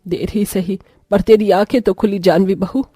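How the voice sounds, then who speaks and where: A woman's voice: medium pitch, fairly even intonation, moderate pace, dark tone, slightly rough, pitched below the performer's own voice, lightly acted. A woman voicing a stern, reproachful older mother-in-law in a moral story drama. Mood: stern, relenting. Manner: scolding, reproachful. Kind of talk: acted Hindi moral story dialogue.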